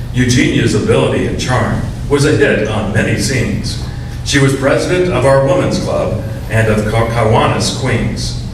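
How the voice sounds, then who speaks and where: Man reciting verse in an echoey hall. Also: mid-range voice. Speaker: reader in a church reader's theater. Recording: echoey hall, distant mic, noisy.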